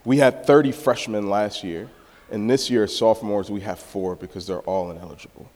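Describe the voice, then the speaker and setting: A man, a church planter, telling stories casually on a panel, animated and full of "like". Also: fairly low pitch, normal speed. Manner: animated storytelling, filler "like". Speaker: church planter and football coach. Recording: reverberant hall.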